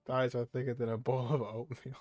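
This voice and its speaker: A man's voice: mid-range voice, level tone, brisk, a little mellow, no room sound. A man casually reacting to a video game as he streams it. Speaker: gaming streamer. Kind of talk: casual reactions over gameplay. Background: game music.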